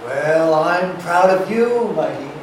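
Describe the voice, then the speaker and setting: A man playing a doting, flattering businessman sweetheart in a live screenplay reading. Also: a little high, slow. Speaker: actor. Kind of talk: cold-read screenplay scene reading. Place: large room at a live staged reading. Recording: echoey hall, distant mic, noisy.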